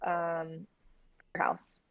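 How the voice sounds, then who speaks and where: Woman on a podcast talking shop, kinda low voice, frank, bit dull lo-fi audio. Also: monotone, extremely slow, clear, resonant tone, dry recording. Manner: candid, casually profane. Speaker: guest, horror filmmaker. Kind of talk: casual interview chat.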